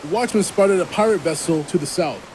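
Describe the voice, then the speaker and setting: A man's voice: sits high, brisk, darkish tone, natural voice, low-quality recording. A man acting in an audio drama as a matter-of-fact seafarer with a dry sense of irony. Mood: alert. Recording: light wave noise behind.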